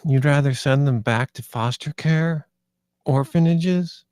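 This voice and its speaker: A woman's voice: low voice, slow pace, darkish tone, dry recording, clean studio sound. A woman reading the part of a tough, tired teenage girl who looks after orphaned kids, terse and guarded, at a screenplay table read. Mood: tense, reproachful.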